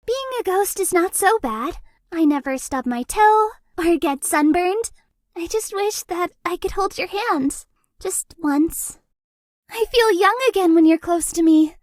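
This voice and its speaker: A very high, girlish voice as a cute young female ghost in a video game. She speaks slowly and hesitantly, with a bubbly, self-deprecating sweetness and an apologetic, awkward manner. The sound is close and dry. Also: animated, dry recording. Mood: hopeful, tender.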